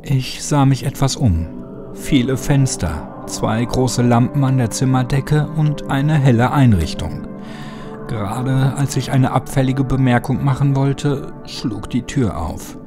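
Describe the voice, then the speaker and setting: A man's voice: medium pitch, lively intonation, a little slow, over music. A man reading a scripted horror story as an audiobook narrator.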